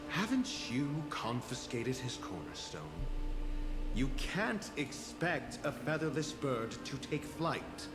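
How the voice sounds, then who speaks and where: A haughty male scholar from a dramatic game story. His speech is slow and deliberate, with widely swinging, condescending intonation. Loud music plays behind his roomy, echoing voice. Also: mid-range voice, sing-song. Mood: cool, condescending. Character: haughty scholarly doctor, cool calculating male informant. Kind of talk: dramatic video game voice acting.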